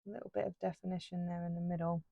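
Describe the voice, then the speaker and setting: A woman chatting casually while she paints, rambling with lots of fillers and restarts, her voice a little croaky. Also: lowish voice, flat delivery, rapid-fire, dark tone, no echo, highs cut off. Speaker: art YouTuber.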